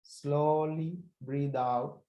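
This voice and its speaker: A man leading a guided breathing meditation as a teacher, speaking calmly and deliberately in short phrases with pauses. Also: a little high, highs cut off. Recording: roomy echo.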